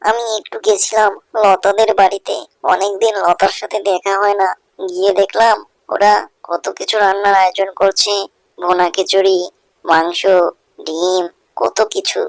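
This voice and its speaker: A woman voicing a poor, wistful young village girl in a cartoon story, with a plaintive, expressive delivery. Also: medium pitch, normal speed, no room sound, lightly acted. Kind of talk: Bengali cartoon story dubbing.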